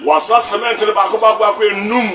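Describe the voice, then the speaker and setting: A man in a filmed clip excitedly calling out weights. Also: high-pitched, quick pace, echoey room, recorded from a distance, over music.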